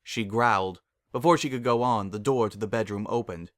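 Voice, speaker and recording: A man's voice: fairly low pitch, a little fast, no echo, clean studio sound. A man narrating a story as a dramatic reading.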